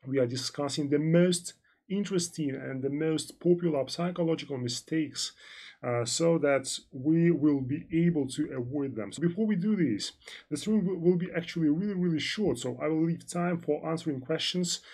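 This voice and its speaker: A man's voice: a little high, normal speed, a little mellow, husky. A man with a slightly raised voice hosts a live trading stream as a financial analyst. He talks in a loose, rambling way, stacking "so" and "well" and restarting his sentences as he answers viewers' chat messages between explanations.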